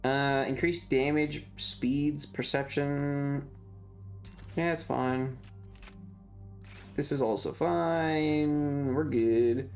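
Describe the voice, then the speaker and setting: A woman's voice: low-pitched, drawn-out words, pauses often, darkish tone, faint music behind. A woman giving casual gameplay commentary as a streamer, offhand and thinking aloud. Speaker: game streamer.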